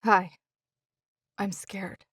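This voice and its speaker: A woman's voice: medium pitch, level tone, very slow, a bit bright, a little hiss or hum. A woman acting as a scared, bitter helpline caller in an audio drama, telling things in clipped, sardonic fragments. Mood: scared, incredulous.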